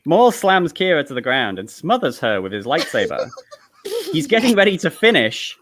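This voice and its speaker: A man's voice: on the high side, big pitch swings, moderate pace, fairly bright tone. A man reading stage directions as narrator in a live comedic table read, with an amused manner.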